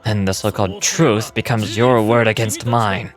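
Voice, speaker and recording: An actor playing a scheming, pompous merchant villain in a video game, theatrical and self-serving, talking with indignant persuasion. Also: male voice, on the low side, steady tone, normal speed, soft background music, close to an everyday voice. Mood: smug, scheming.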